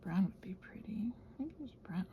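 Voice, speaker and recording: A woman chatting casually while crafting, soft and often dropping to a near-whisper, hesitant and thinking aloud, with playful little exclamations. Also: medium pitch, lively intonation, moderate pace, narrow, tinny audio, a little hiss or hum. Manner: hesitant, thinking aloud, trailing off mid-sentence. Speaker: host of a crafting ramble channel. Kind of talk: casual rambling craft chat, ASMR-like.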